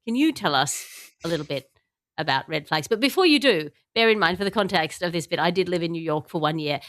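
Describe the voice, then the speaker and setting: A woman's voice: on the low side, expressive, fast, fairly bright tone, dry recording, studio quality. A woman hosting a comedy panel podcast, chatting in a wry, digressive and mock-grandiose way. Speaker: podcast host.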